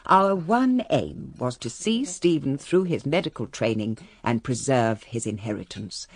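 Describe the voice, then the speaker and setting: A woman's voice: extremely low pitch, expressive, measured pace, breathy edge, heavily acted. An actress playing a genteel, reflective older English lady of a declining manor, narrating a radio drama in a refined, wry manner. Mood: matter-of-fact. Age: middle-aged or older.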